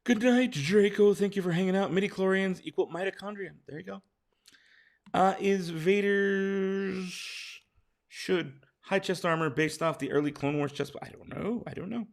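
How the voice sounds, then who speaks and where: Man, tired, hoarse, gravelly, a bit high-pitched, casual stream chatter, answers questions from chat. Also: moderate pace. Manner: chatty, reads out viewer questions and answers them.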